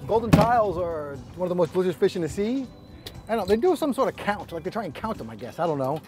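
A man's voice: sits high, big pitch swings, fast, a little mellow. A middle-aged man chatting casually to camera on a fishing boat at sea, with a joking, teasing manner. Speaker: fishing vlog co-host. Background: music.

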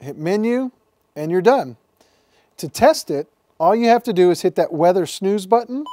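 A man's voice: high-pitched, lively intonation, moderate pace, dark tone, slight background noise. A man, a TV meteorologist walking viewers step by step through setting up a device in a studio. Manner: friendly step-by-step explaining, handling a radio. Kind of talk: instructional broadcast demo. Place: TV weather studio.

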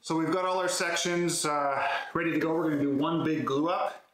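A man's voice: a little high, fairly even intonation, brisk. A man in his thirties casually and matter-of-factly explaining a hands-on build to camera, picked up a few metres from the mic in a workshop. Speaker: woodworking video host. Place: concrete-floored garage workshop, indoors. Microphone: camera mic a few metres away. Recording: echoey garage, faint background noise.

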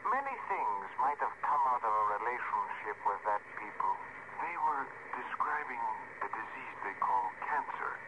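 Alien spaceman, male, played by an actor: measured, wistful, lively intonation, old radio drama with muffled sound. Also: medium pitch, natural voice. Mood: wistful, regretful. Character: wistful, gentle alien spaceman heading home. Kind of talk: mid-century radio drama acting. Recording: very noisy, muffled vintage radio.

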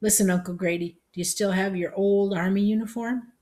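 A woman voicing a forceful, gruff country grandmother in a storybook, booming and blunt. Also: fairly even intonation, brisk, lightly acted. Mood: brisk, matter-of-fact.

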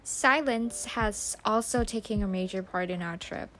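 Slow, soft-spoken young woman narrating. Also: medium pitch, slight background noise. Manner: soft, slow and unhurried, non-native phrasing. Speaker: vlogger. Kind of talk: reflective voice-over narration. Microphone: studio voice-over mic. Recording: music bed underneath.

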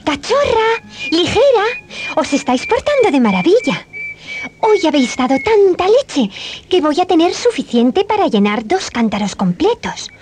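A woman's voice: very high-pitched, very animated, normal speed, darkish tone, a little hiss or hum. A woman playing a cheerful, dreamy young milkmaid girl in a children's storybook tape, with a Castilian Spanish accent. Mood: delighted, excited. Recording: 1980s cassette, music behind.

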